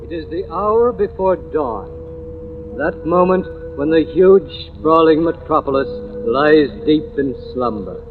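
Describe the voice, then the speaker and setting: An actor playing a theatrical, deliberate narrator who sets suspenseful scenes in an old radio drama. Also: male voice, fairly high pitch, animated, narrow, tinny audio. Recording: thin old radio recording, faint music.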